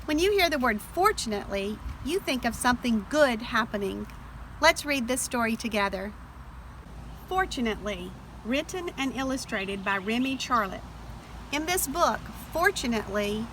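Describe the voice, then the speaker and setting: A woman reading picture books aloud to young children, explaining directly to her listeners with expressive delivery. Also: fairly high pitch, noisy background. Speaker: storybook reader for children. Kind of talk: read-aloud picture book narration.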